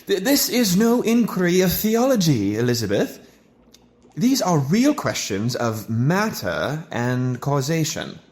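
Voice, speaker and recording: An actor playing an obsessed young gentleman scientist in an audio drama, speaking with fervent, formal, declamatory diction. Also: fairly high pitch, very animated, measured pace. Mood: excited, fervent. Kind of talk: theatrical audio drama acting.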